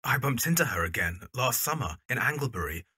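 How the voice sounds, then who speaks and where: A male actor plays an earnest young Victorian man in an audio drama. He is polite and eager, with a lively, swinging intonation and a slightly rough, bright tone. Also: mid-range voice, brisk, dry recording, studio quality, exaggerated voice.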